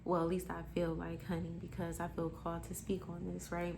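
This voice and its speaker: A woman's voice: lowish voice, monotone, brisk, creaky, background noise. A woman in her twenties giving a casual motivational monologue, candid and emphatic, swearing freely and calling the listener honey. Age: 20s. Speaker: spiritual vlogger.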